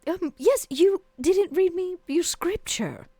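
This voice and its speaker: A woman's voice: very high-pitched, expressive, slow pace, warm tone, no echo, voice pushed up, heavily acted. A woman playing a coy, flirtatious female vampire who teases, in a tabletop game. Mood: challenging. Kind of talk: in-character tabletop roleplay.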